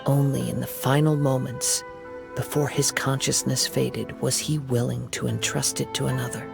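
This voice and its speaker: Brief: a gentle young swordsman, soft gravelly voice, calm and measured, game scene over music. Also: medium pitch. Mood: reflective. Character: young male wandering samurai, calm and gentle. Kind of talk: game cutscene voice acting. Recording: game audio with clear music behind.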